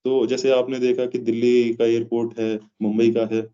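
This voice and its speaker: A man with an Indian accent explaining things in a measured way as an interview guest, pausing mid-phrase. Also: mid-range voice, completely flat delivery, dark tone. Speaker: interview guest, aviation professional. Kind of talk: explanatory interview answers in Hindi mixed with English. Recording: dull, lo-fi sound.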